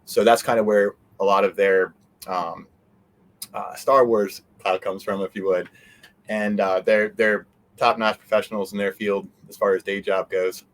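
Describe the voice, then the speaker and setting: A man's voice: lowish voice, level tone, unhurried, a bit bright. A man being interviewed, talking in a casual, rambling, conversational way. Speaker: interview guest, convention organizer.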